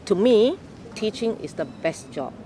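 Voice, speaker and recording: An older woman from Malaysia tells her story in an interview, taking her time and pausing to explain things. Her voice sits at an ordinary middle pitch. Street and vehicle noise comes through clearly behind her. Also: sing-song, warm tone. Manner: unhurried storytelling, careful explaining. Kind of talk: oral history interview.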